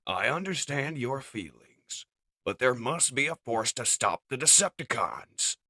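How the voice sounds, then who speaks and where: A man voicing a wise, kindly robot leader in a comic dub, solemn, measured and fatherly. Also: medium pitch, sing-song, bright, forceful tone, no echo, studio quality, heavily acted. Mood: earnest. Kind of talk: comic dub character acting.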